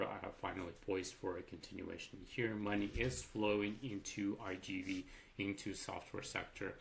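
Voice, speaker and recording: Low, gravelly man running through charts. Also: slight background noise. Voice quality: a little raspy from talking. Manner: loose and chatty, with fillers and casual swearing. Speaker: market commentator. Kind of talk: casual market analysis over charts.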